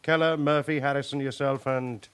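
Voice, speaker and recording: Actor as brisk British police chief. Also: male voice, medium pitch, steady tone, a bit bright, smooth, close to an everyday voice. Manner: brisk, matter-of-fact, instructing. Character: brisk, authoritative male senior police officer. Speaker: actor in a radio drama. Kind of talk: naturalistic British radio drama acting.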